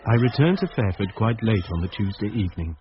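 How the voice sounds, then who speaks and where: A man's voice: fairly low pitch, lively intonation, fast, close to an everyday voice. A British actor playing a reflective, observant man narrating a mystery in a radio drama. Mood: reflective, uneasy. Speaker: narrator, first-person character. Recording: dull radio recording with clear noise.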